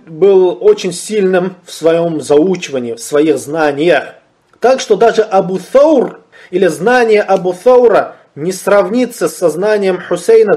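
A man giving a religious lecture. He poses rhetorical questions and answers them himself, and he recites Arabic phrases slowly before translating them. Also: darkish tone. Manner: rises in pitch and volume on questions, drops low on honorifics. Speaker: Islamic lecturer.

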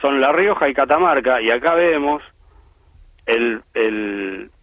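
A man's voice: mid-range voice, expressive, takes it slowly, no room sound. Man explaining over a dull line. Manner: explanatory, emphatic, with frequent "eh" and "este" fillers. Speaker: aviation industry commentator. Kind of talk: radio phone-in analysis.

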